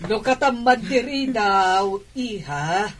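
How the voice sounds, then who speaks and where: A woman with an Ilocano Filipino accent playing a concerned, coaxing older aunt in a radio drama, with a husky voice. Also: medium pitch, expressive, takes it slowly, slight background noise.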